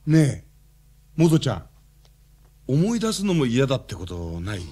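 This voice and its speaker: Husky, curious man. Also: mid-range voice, huge pitch swings, moderate pace, dark tone. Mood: probing, insistent. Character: curious, reasoning man, friend of the heroine. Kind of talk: Japanese radio drama acting.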